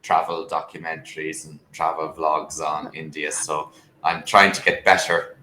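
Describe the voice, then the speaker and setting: A man's voice: rumbling low, little pitch movement, measured pace, bright, forceful tone, echoey room, slight background noise. An Irish man tutoring English over a video call, talking casually and hesitantly with lots of fillers and restarts. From Ireland.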